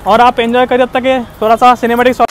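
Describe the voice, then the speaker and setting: High-pitched young man vlogging. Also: little pitch movement, fast, faint music behind. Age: 20s. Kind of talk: casual vlog presenting to camera. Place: open rooftop cafe above a busy street. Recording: clearly noisy outdoor recording, street traffic.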